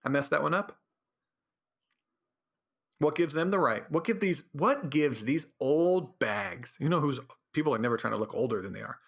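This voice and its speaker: Sarcastic podcast guy ranting, kinda high voice, rambly. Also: animated, moderate pace, creaky. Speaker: podcast host. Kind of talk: comedic solo rant.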